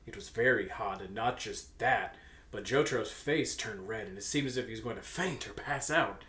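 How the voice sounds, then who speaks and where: A man's voice: on the low side, normal speed, sharp tone. Young man reading fan fiction, echoey room. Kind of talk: comic storybook-style narration with casual banter.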